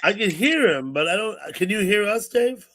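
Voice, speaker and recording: A man in his sixties hosts a loose livestream chat, his voice sitting a little high for a man. He rambles, circling back and repeating his words as he goes. He talks into a computer mic on the stream call. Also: animated, normal speed, fairly bright tone, a little hiss or hum. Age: 60s. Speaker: livestream host.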